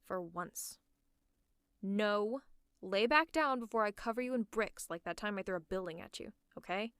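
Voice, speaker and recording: A woman playing a sardonic female supervillain in an ASMR roleplay, teasing and scolding the listener in a gruffly caring way. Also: medium pitch, expressive, moderate pace, a bit bright, no echo, clean studio sound, close to an everyday voice. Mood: bossy, exasperated. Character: sardonic female supervillain, gruffly fussing over a sick hero. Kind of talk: ASMR roleplay, one-sided dialogue to the listener. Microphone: close mic, dry.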